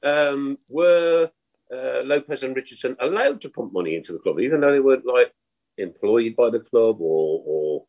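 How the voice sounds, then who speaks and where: A man's voice: medium pitch, animated, normal speed, a little mellow. A man in his sixties on a video call, chatting casually about football, teasing the others and asking rhetorical questions. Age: 60s. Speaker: podcast panelist. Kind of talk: casual football fan chat.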